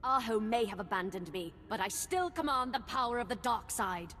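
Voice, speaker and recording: A woman's voice: on the high side, lively intonation, moderate pace, noisy background, natural voice. An actress playing a cruel, proud female Sith apprentice in a video game, haughty and threatening. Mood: defiant. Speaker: villain. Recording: game audio with long reverb.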